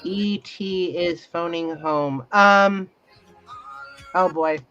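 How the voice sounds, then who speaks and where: A woman's voice: lowish voice, extremely slow, fairly bright tone. A woman chatting casually as co-host of a talk stream, with a giggly manner. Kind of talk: casual chat. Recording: muffled lo-fi stream with music underneath. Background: music.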